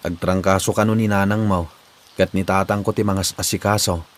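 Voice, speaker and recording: A man's voice: deep voice, little pitch movement, moderate pace, close to an everyday voice. A man playing an agreeable, reassuring young partner in a comedy drama. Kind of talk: amateur Ilocano comedy drama acting.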